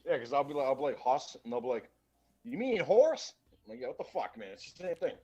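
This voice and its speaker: Boston-accented guy on a horror chat panel, quick and lively, a bit husky. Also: medium pitch, highs cut off, soft background music. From Boston area. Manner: fast, joking, swearing freely. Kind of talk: casual panel banter.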